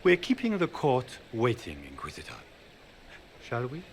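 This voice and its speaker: An actor playing a scheming grand duke who woos allies with smooth, persuasive speech in a game cutscene. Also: male voice, medium pitch, huge pitch swings, normal speed, background noise. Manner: smooth, persuasive, theatrical emphasis. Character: scheming, charming noble grand duke, middle-aged man. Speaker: grand duke seeking the throne. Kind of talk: video game cutscene dialogue.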